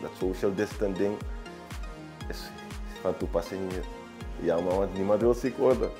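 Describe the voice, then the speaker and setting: A man in his thirties with a Surinamese accent, answering in an unscripted interview in a halting way, repeating phrases for emphasis. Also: lowish voice. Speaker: barber, small business owner interviewee. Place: small barbershop, indoors, seated. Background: background music.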